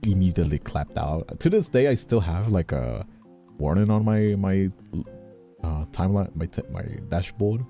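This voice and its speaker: Casting: male streamer, very low and gravelly, lively intonation in casual chat, faint music behind. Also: moderate pace. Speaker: streamer and audio creator.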